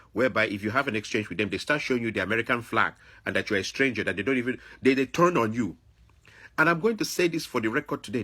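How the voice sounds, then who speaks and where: A man's voice: lowish voice, bright, forceful tone, husky. A man from Africa, delivering an opinionated, confrontational monologue to another person, repeating phrases for emphasis. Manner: confrontational, admonishing. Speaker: vlogger.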